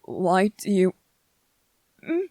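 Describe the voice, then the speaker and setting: A woman's voice: mid-range voice, sing-song, drawn-out words, dark tone, no room sound, clean studio sound, exaggerated voice. A woman playing a tender, anxious young man in an ASMR roleplay drama, stammering and repeating phrases as they speak to the listener. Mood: flustered, disbelieving.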